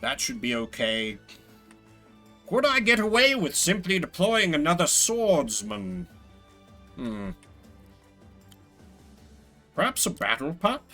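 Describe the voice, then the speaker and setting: A man's voice: sits high, very animated, takes it slowly, pauses often, bright, forceful tone, faint music behind. A man streaming a strategy game, musing aloud as he talks himself through his decisions. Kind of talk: live strategy game commentary, thinking aloud.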